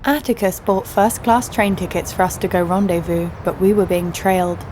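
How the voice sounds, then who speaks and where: A woman's voice: lowish voice, moderate pace, a little mellow, slight background noise, lightly acted. An actress playing a scheming, foul-mouthed young female criminal who narrates an audio drama with sarcastic irritation. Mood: wry storytelling. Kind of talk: first-person audio drama narration and dialogue.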